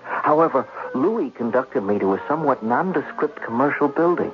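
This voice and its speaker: An actor playing an anxious, indignant gambler, narrating and pleading theatrically in a 1970s radio drama. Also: male voice, mid-range voice, animated, normal speed, lightly acted. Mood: dramatic narration. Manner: theatrical, stammering, nervous. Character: anxious, indignant male gambler in debt, narrating his plight. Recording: dull vintage radio recording, music behind.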